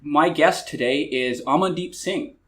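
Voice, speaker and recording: A man hosting a casual podcast interview, relaxed and a little hesitant, asking simple questions. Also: on the high side, a little slow. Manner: relaxed, hesitant, many "uh" fillers. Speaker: podcast host.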